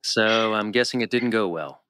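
A man's voice: on the low side, normal speed, bright, forceful tone, a little hiss or hum. A man reading a laconic, skeptical teenage boy, the understated sensible friend, at a TV drama table read. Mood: dry, skeptical.

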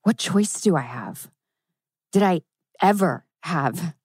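A woman's voice: on the low side, animated, takes it slowly, a little mellow, breathy edge, no echo, clean studio sound, full character voice. A woman playing a resigned middle-aged old flame on the run, in a natural dramatic table read.

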